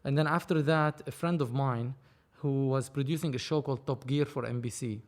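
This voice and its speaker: A man, a podcast guest telling his story in a casual, animated, rambling way with many restarts. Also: mid-range voice, normal speed. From Lebanon. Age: 30s. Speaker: film producer, podcast guest.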